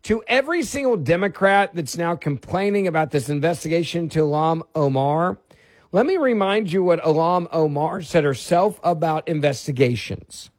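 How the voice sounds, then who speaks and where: Emphatic talk radio host, dry studio. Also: male voice, fairly high pitch, expressive, moderate pace, no room sound. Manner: emphatic, repeats himself, stacks asides. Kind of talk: opinionated talk radio monologue. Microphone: studio microphone.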